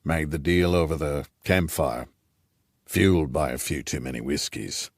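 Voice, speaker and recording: A man voicing an aging, gruff Rhodesian patriarch, a wry and nostalgic old scout, in an audiobook. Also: extremely low pitch, a little slow, dry recording, clean studio sound, pitched below the performer's own voice, full character voice. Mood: wry reminiscing. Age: older.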